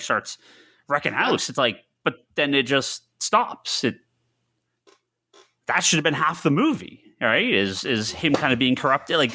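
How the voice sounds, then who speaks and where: Podcast guy, bright voice that swings up and down, blunt casual movie griping, kinda halting. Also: mid-range voice. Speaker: podcast co-host. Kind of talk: casual opinionated movie discussion.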